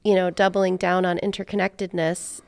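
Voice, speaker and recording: A woman's voice: lowish voice, flat delivery, moderate pace, gravelly, a little mellow, clean tone. A woman hosting a podcast, chatting casually in a sincere, musing way. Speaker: podcast host. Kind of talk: casual conversational interviewing.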